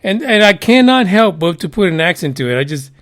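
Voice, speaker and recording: A man with a high voice hosts a loose news podcast. He swears freely, cracks himself up and wanders off into rambling asides while reading articles aloud. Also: sing-song, a little fast, fairly bright tone. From United States. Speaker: podcast host. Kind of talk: casual news commentary.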